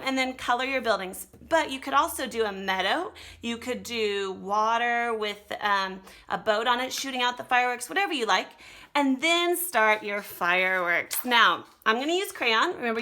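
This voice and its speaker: A woman's voice: on the high side, sharp tone. An American woman teaching children to draw, talking them casually through each step in an encouraging way. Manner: encouraging, talks directly to young pupils. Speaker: art teacher giving a drawing lesson to children. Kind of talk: casual step-by-step demonstration while drawing.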